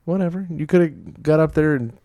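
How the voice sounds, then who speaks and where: A man's voice: a little high, brisk, dark tone, no room sound. A man with a nasal voice co-hosting a casual podcast, joking, swearing freely and laughing often. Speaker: podcast co-host. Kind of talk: casual banter over drinks.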